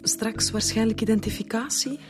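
An actress playing a cool, composed, detached Flemish wife in a naturalistic radio drama. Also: female voice, on the high side, fairly even intonation, moderate pace, music in the background, natural voice. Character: cool, unemotional nurse, wife of bereaved man.

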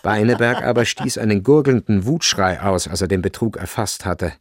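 Low, creaky male radio play narrator. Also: moderate pace, no echo.

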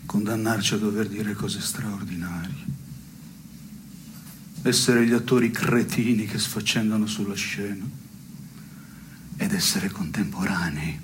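An actor playing a wry, melancholy man in an absurdist stage dialogue, speaking in a measured deadpan. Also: lowish voice, natural voice. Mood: deadpan, solemn. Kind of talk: absurdist two-hander theatre dialogue. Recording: noisy radio broadcast of theatre piece.

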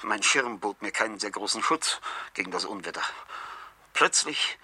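An actor playing a mild, courteous middle-aged English chemist in a 1970s German radio crime drama, speaking politely and wordily. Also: male voice, lowish voice, lively intonation, moderate pace, sharp tone, husky, no room sound, exaggerated voice. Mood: reminiscing. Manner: deferential, polite, wordy.

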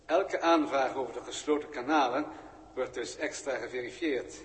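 A man plays a courteous galactic bureaucrat in an old science fiction radio play. He speaks at a middling pitch, measured, formal and detached, with a long reverb trailing every phrase. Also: lightly acted. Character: courteous, unflappable bureaucrat of a galactic communications centre. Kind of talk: 1960s Dutch radio drama acting.

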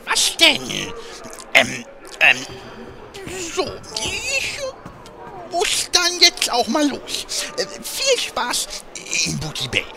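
A man playing a shifty, cowardly goblin inventor in a fantasy parody radio play, halting and drawn-out, with sly chuckles. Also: very high-pitched, wildly swooping pitch, creaky, over loud music, full character voice. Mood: nervous.

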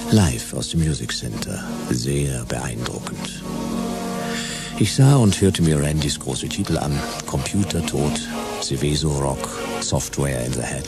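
An actor playing a tough, sardonic private detective in a noir sci-fi radio drama, deadpan and laconic. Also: male voice, very deep voice, measured pace, gravelly, full character voice. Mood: dry, wry. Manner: deadpan, laconic, clipped sentences. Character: hard-boiled male private detective, tough, sardonic, cool-headed. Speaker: lead actor and first-person narrator in a radio play. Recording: music behind the voice.

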